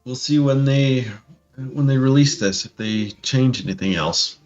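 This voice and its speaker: A man's voice: mid-range voice, unhurried, faint music behind. Slightly muffled man, casual podcast co-host. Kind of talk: casual discussion of tabletop games.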